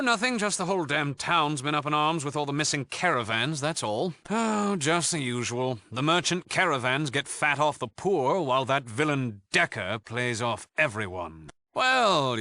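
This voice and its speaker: An actor playing a suave, sardonic British leader of a thieves' guild in a video game, with a flippant, haughty and theatrical way of talking. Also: male voice, a little high, sharp tone, dry recording. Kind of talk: video game character dialogue.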